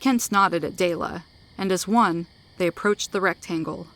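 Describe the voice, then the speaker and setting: A woman's voice: fairly low pitch, normal speed, fairly bright tone, rough recording. A woman narrating scripted sci-fi fiction in a dramatic storytelling style, close to the microphone.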